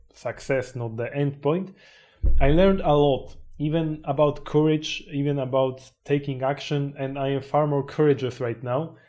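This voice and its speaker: A man's voice: mid-range voice, mellow. Hesitant young man, self-help vlog. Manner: hesitant, many fillers and restarts. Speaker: YouTuber.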